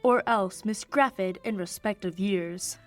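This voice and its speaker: A woman's voice: medium pitch, slow, soft background music, close to an everyday voice. A young woman playing an ardent young nobleman in love in a radio play of Shakespeare, speaking poetic verse. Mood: tender, lamenting. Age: young adult. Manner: declamatory, poetic verse delivery.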